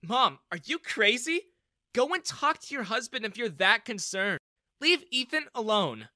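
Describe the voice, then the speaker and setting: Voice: mid-range voice, sing-song, unhurried, bright, forceful tone, no room sound, clean studio sound, voice pushed up. A narrator voicing an earnest, protective, fiery young woman in a dramatised audio story, with an emotional manner. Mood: angry. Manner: emotional, indignant, pleading. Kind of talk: dramatised first-person web-novel audio story.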